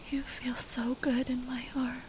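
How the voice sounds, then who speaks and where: A woman playing a tender, grieving spellcaster lover in an ASMR roleplay, speaking in a breathy, halting whisper. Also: sits high, poor audio. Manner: halting, tender, sighing delivery.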